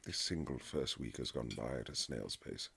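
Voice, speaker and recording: A man playing a haughty, sardonic aristocratic husband in a romantic period audio drama, speaking in formal, measured, teasing phrases. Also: very deep voice, gravelly, background noise. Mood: irritated, stiff. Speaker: audio roleplay performer. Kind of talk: romantic period-drama ASMR roleplay, scripted.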